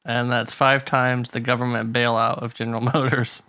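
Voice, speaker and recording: A man's voice: mid-range voice, flat delivery, moderate pace, sharp tone, no room sound. A man co-hosting a casual podcast chat, reading figures aloud and laughing often. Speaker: podcast co-host.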